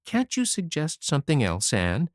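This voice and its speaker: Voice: medium pitch, huge pitch swings, dry recording, studio quality, voice pushed up. A reader doing a stern, practical older woman. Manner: measured, practical, matter-of-fact.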